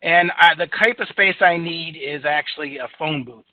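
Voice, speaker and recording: Male presenter, booming and relaxed with fillers, pitched a bit high; dry, phone-like recording. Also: moderate pace, dry recording. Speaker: software demonstrator. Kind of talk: software screen-share demo. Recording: tinny phone-like audio, dry.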